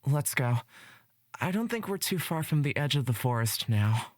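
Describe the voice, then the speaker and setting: A woman voicing a solemn, weary male companion in a fantasy visual novel, speaking gravely. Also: lively intonation, a little mellow, no echo, studio quality. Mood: resigned. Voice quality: husky, low. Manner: slow, grave. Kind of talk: visual novel character reading.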